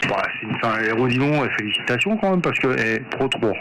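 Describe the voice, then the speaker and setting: A man's voice: medium pitch, animated, fast talker. A man chatting casually over amateur radio, in a rambling, repetitive, informal way. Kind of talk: casual radio contact chat. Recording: noisy radio transmission with clicks.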